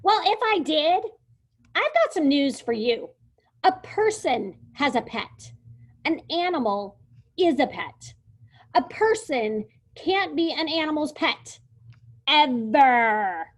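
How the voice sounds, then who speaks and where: A woman voicing a bossy, screechy little girl in a storybook read-aloud, with a squeaky, snippy voice. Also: sing-song, takes it slowly, hardly any pauses, pushed and bright, exaggerated voice. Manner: shrill, demanding, sing-song.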